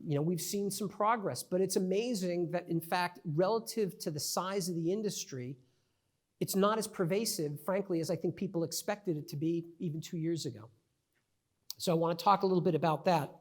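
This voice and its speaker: A middle-aged man with a New York accent, giving a conversational conference presentation with many fillers and restarts. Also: high-pitched. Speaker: conference speaker.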